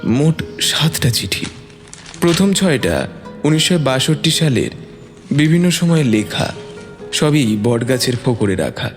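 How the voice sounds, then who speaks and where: Male storyteller, lively dramatic narration, mid-pitched, reverberant sound with music underneath. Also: measured pace, pauses often.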